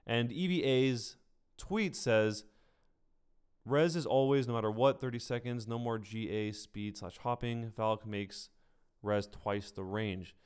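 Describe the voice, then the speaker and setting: A man's voice: medium pitch, slow, a little mellow, clean tone. A man giving casual livestream gaming commentary, speaking conversationally and directly to his viewers. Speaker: streamer and gaming news host.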